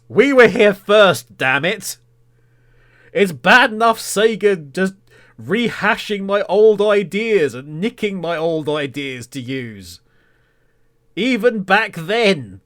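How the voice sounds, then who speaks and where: A man's voice: high-pitched, fairly bright tone. A man hosting a podcast in casual solo talk, with a rambling, self-deprecating and mock-indignant humour. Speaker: podcast host.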